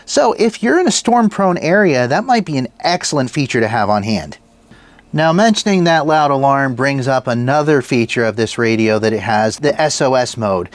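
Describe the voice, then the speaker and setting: A man's voice: medium pitch, animated, moderate pace, dry recording. Plain-spoken man, close dry recording. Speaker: product reviewer and channel host. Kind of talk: casual gear review to viewers.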